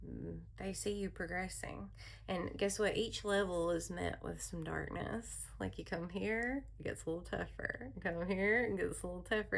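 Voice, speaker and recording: A woman hosting a spiritual wellness talk, speaking in a calm, soothing voice with a rambling manner and frequent laughs. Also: medium pitch, creaky. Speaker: wellness channel host. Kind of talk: casual spiritual talk to viewers.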